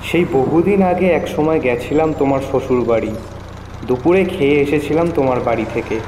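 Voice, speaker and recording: A hardworking village father acted by a man in a cartoon. He has a medium-pitched voice and talks in a plain, practical, mild way. The sound is echoey, with a long reverb tail. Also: brisk, warm tone, slight background noise, natural voice. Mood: plain, concerned. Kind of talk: cartoon dialogue.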